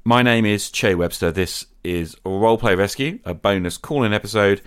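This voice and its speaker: A man's voice: lowish voice, moderate pace, fairly bright tone, clean tone, no room sound, studio quality. A man hosting a podcast in an informal, chatty, appreciative way, talking straight to his listeners and callers. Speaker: podcast host. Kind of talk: casual chatty podcast outro.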